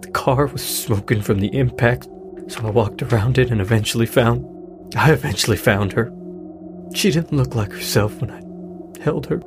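A man playing a grieving, apologetic middle-aged widowed father with a halting delivery in a naturalistic audio drama. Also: mid-range voice, natural voice. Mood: anguished, guilty. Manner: halting, hesitant, sighing delivery. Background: music.

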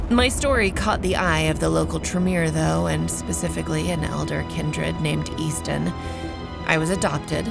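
An actress playing a cynical, bitter young vampire researcher in an audio drama, with a dry, sarcastic deadpan. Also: female voice, low-pitched, vocal fry. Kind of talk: audio drama acting with first-person narration.

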